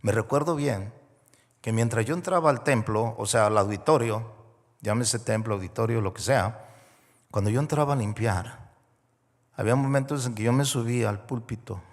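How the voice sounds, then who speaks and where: A man from Mexico preaching a sermon as a guest pastor, moving between hushed storytelling and loud, rising preaching. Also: mid-range voice, normal speed. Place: large church auditorium. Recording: long hall reverb.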